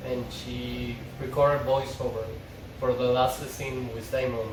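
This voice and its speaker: A man's voice: medium pitch, flat delivery, measured pace. A man speaking as a fan, reading stage directions aloud or chatting casually. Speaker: fan table reader and commentator. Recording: echoey, far from mic, noisy room.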